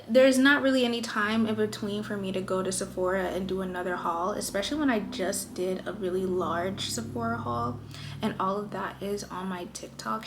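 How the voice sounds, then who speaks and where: A woman's voice: medium pitch, a little hiss or hum. Chatty young woman doing her makeup. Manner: chatty, playful, joking asides. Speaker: lifestyle vlogger. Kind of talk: casual chatty makeup tutorial. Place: indoors at her vanity.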